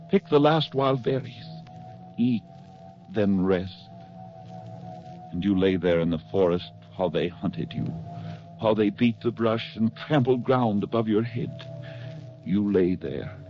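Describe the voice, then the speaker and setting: A man's voice: mid-range voice, natural voice. A man acting a hunted, weakened fugitive in earnest 1940s radio drama style. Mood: weary, haunted. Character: hunted, fevered, weakened man, tender and fearful for his lover. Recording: muffled vintage 1940s radio recording with music.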